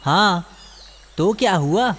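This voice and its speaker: A performer plays a young male monkey in a children's cartoon, trusting and friendly. The voice sits at an in-between pitch and bounces up and down with lots of animation, with music underneath. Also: animated, slow pace, fairly bright tone. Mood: defensive. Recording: music behind the voice.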